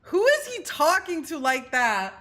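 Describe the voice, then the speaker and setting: A woman's voice: high-pitched, huge pitch swings, a little slow, pushed and bright. A woman streaming a video game, giving casual, outspoken commentary in informal slang and sometimes reading text aloud. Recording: game music behind the voice.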